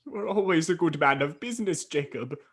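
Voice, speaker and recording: A man's voice: on the high side, lively intonation, a little fast. A man playing a nervous, grudging old miser in a radio play, pleading and hesitant. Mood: anxious, pleading. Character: frightened, pleading old miser, dry and reluctant. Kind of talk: theatrical radio play acting.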